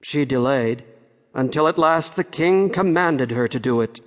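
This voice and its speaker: A man narrating a fairy-tale audiobook in a measured storytelling way, with long reverb on the recording. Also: mid-range voice, expressive.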